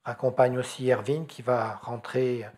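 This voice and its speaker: A man's voice: mid-range voice, level tone, unhurried, darkish tone. A middle-aged man leading a closing prayer in a small church hall, speaking earnestly and steadily into a handheld mic.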